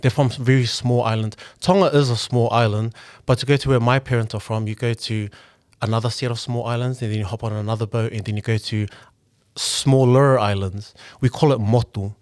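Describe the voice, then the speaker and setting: A man's voice: mid-range voice, fairly even intonation, a little fast, a little mellow, no room sound. Earnest young man, dry close sound. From New Zealand. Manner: earnest, reflective, frequent "um" and restarts. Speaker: guest, local politician and engineer. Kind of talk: casual podcast conversation.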